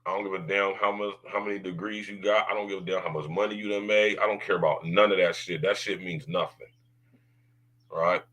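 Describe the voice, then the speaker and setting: A man hosting a podcast, talking in a loud, drawling way with lots of slang and tag questions, in a deep baritone. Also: steady tone, fairly quick. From United States. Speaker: podcast host. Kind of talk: casual podcast monologue.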